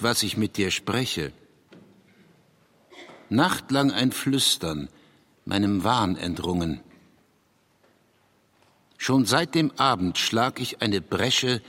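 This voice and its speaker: An actor playing a brooding, hesitant male writer in a literary radio drama, with a husky voice. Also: fairly low pitch, expressive, slow pace, long pauses, bright, forceful tone, full character voice. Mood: solemn, intense. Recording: long hall-like reverb.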